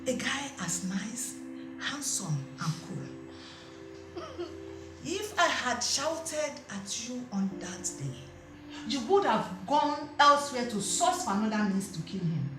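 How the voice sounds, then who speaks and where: A woman's voice: high voice, animated, quick pace. A woman playing a shrewd older aunt who mocks, then lectures her niece. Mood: lecturing. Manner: brusque, mocking, then lecturing. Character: shrewd, scolding older aunt giving advice. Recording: roomy with music behind.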